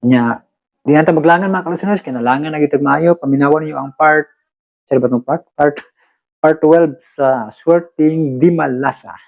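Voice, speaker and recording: A man's voice: on the high side, moderate pace, vocal fry. A man hosting a radio show in an informal, chatty way, often addressing his listeners. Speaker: radio host. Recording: thin, phone-like band-limited sound.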